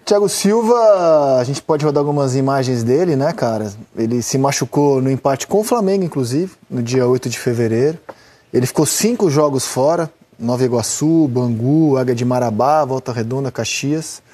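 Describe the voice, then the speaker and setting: A man with a Brazilian accent hosting a televised football debate, brisk, calling on each panelist by name. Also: medium pitch, dark tone. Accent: Brazilian Portuguese. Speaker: TV sports show host. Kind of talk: sports panel discussion.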